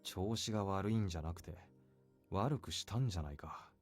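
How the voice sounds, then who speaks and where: A man voicing a laconic young soldier in a Japanese visual novel, curt, cool and deadpan. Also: sits low, very fast, faint music behind. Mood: dry.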